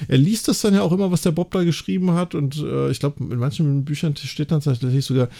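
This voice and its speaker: A man with a gravelly edge and a soft, dark tone talks as a podcast host. He recaps things in a loose, conversational way, frequently checking for agreement with short tag questions. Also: on the high side, fairly even intonation, a little fast. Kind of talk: casual discussion and recap of an audio drama.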